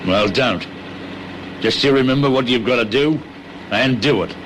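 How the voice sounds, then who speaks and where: An actor playing a calm, steady getaway driver in a radio crime drama, laconic and matter-of-fact, with an Australian accent. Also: male voice, medium pitch, moderate pace, heavy background noise, close to an everyday voice. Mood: stern.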